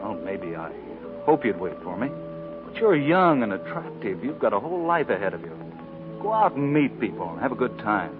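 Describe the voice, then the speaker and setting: A man's voice: mid-range voice, very animated, normal speed, lightly acted. Proud young out-of-work organizer played by a man, blunt American talk, 1940s radio drama, thin tinny broadcast over music. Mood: proud, pained. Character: proud, discouraged young jobless labor organizer.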